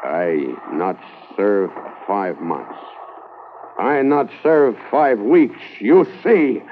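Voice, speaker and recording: An actor playing a bitter, defiant prisoner who speaks in clipped broken English, in a 1950s radio drama. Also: male voice, fairly low pitch, animated, drawn-out words. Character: defiant proud horse thief prisoner, bitter. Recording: tinny old radio transcription, hiss.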